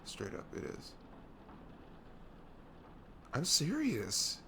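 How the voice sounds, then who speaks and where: A young man playing a caring, protective best friend in an intimate ASMR audio roleplay, talking in a halting, soothing, earnest way that slips into whispers. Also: a little high, huge pitch swings, fairly bright tone, a little hiss or hum, exaggerated voice. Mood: tender, reassuring.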